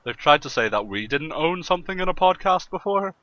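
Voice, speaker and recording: A man's voice: on the high side, lively intonation, fairly quick, a bit bright, dry recording. A man joking crudely and swearing as he chats casually as a co-host on a group podcast. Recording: dull, muffled voice-call microphone.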